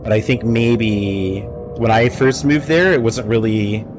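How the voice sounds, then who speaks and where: A man's voice: on the low side, a little slow, music in the background. A young man streaming a game and telling rambling stories in casual chat, talking into a headset mic at home. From United States. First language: English. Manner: rambling, anecdotal, animated storytelling. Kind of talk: casual live-stream chat while gaming.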